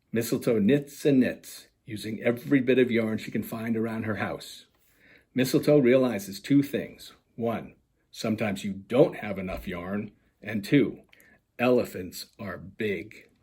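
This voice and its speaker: A man, an author reading his children's picture book aloud in a husky voice. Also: on the low side, a little mellow. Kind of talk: children's storytime narration.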